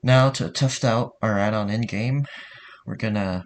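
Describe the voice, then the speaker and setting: A man narrating a software tutorial in an informal, somewhat hesitant way. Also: lowish voice, unhurried. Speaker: tutorial host.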